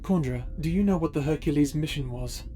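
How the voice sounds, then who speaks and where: A man playing a stern, guilt-ridden space pirate officer in an audio drama, with measured, formal speech. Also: on the high side, mellow, natural voice. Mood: firm, reserved. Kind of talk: audio roleplay drama acting. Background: background music.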